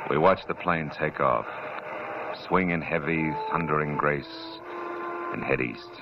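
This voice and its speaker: A man's voice: rumbling low, a little slow, gravelly, background noise, heavily acted. A man playing a hard-boiled private detective with a wry, clipped, tough-guy delivery in a 1940s radio drama. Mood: somber. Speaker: detective narrator. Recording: dull old radio broadcast with music.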